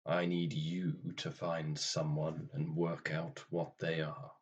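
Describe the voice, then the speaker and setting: Guy acting grim reaper type, low husky voice, slow and formal, weary, radio play. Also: flat delivery, muffled recording, full character voice. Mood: preoccupied, puzzled. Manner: formal, dry, understated.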